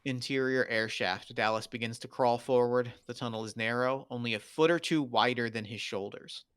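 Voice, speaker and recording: A man's voice: medium pitch, fairly even intonation, normal speed, fairly bright tone, a little hiss or hum. A man narrating screenplay action lines at a table read in short scripted statements.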